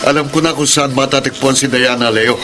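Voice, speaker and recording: A man's voice: medium pitch, fairly even intonation, a little fast, natural voice. An actor playing a curt, determined man on a hunt, brusque and commanding, in a Filipino radio drama. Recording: noisy radio drama track with vehicle sounds.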